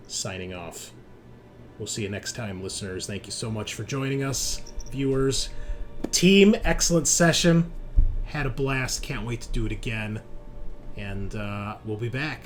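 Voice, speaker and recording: A man hosting and narrating a tabletop horror roleplaying session as game master, in a halting, conversational way. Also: lowish voice. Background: background music.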